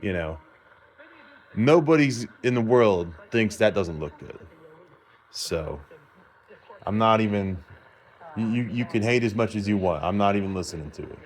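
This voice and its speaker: A man's voice: fairly low pitch, animated, unhurried, pauses often. A man giving a casual, rambling demonstration in an informal, self-assured way, like a hobbyist vlogger. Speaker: vlogger showing a repaired vintage car radio. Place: repair shop, indoors.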